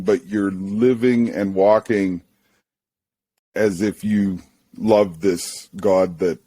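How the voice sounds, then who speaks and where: Male interview host, relaxed and encouraging, lowish voice, dry sound. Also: slightly rough, no echo. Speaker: podcast host. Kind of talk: casual interview.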